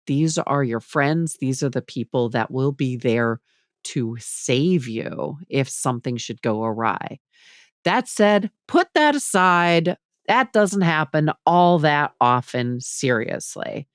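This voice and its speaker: Slow-spoken woman with a low, even voice. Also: dry recording, clean studio sound. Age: middle-aged. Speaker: podcast guest. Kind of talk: conversational advice in an interview.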